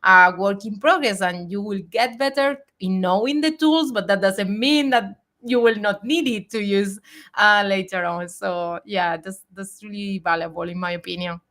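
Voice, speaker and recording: A woman's voice: medium pitch, normal speed, fairly bright tone. A woman in her forties hosting a live video chat, speaking in a reflective, earnest way with frequent fillers. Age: 40s. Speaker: host.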